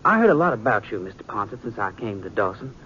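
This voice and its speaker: Earnest young Old West sheriff, reserved and polite, American, muffled radio drama with faint noise. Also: male voice, on the low side, big pitch swings, sharp tone. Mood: guarded, probing. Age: young adult. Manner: courteous, reserved, deliberate. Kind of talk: 1950s radio Western acting. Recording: muffled noisy 1950s radio recording.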